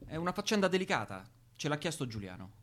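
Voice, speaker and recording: An actor playing an irritable, bossy young militant with a Roman accent, arguing and swearing in a naturalistic radio drama. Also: male voice, on the high side, big pitch swings, fast talker, fairly bright tone. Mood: irritated. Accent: Roman Italian. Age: young adult. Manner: foul-mouthed, argumentative.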